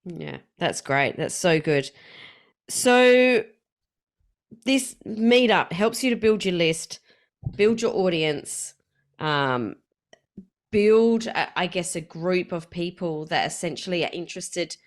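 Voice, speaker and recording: A woman's voice: lowish voice, lively intonation, takes it slowly. A woman hosting an interview, listening closely, summing up what she hears and asking follow-up questions. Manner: attentive, sums up and asks questions. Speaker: interview host. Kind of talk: casual interview talk.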